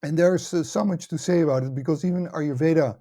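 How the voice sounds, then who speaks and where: A middle-aged or older man from the Netherlands with a Dutch accent, explaining things in a measured, conversational way as an interview guest. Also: a little high, mellow. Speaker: podcast guest, academy director and author.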